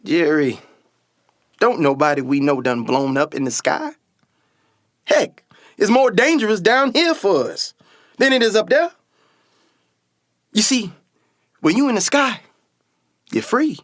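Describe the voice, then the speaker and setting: A man's voice: high-pitched, sing-song, unhurried, long pauses, fairly bright tone, dry recording, exaggerated voice. A man playing a confident, dreamy older brother and aspiring pilot in a radio play, with an earnest, folksy storytelling manner. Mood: earnest, proud. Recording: music behind a dry voice. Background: music.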